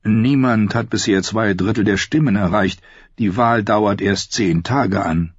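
A man's voice: on the low side, breathy edge, no echo. A man voicing an officer who answers in a self-justifying tone, in a slightly dull audiobook recording. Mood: defensive. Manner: justifying, slow. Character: defensive male officer of a sworn brotherhood.

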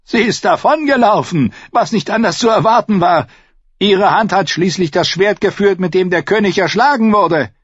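In an audiobook, a man plays a brash young knight, pushed far above his own pitch and louder. The tone is sneering and hot-headed, with a husky edge on a dry, slightly dull recording. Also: normal speed, no echo. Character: young male knight, hot-tempered and boastful.

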